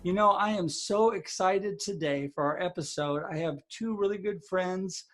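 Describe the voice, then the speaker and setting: A man's voice: high-pitched, normal speed, a bit bright, soft background music. Upbeat male podcast host. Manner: upbeat, promotional. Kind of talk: podcast hosting.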